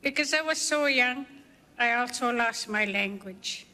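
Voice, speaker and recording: Brief: older woman from the Canadian Arctic, guest speaker, unhurried testimony, higher bright voice, echoey hall. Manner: slow, measured storytelling. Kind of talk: personal testimony speech.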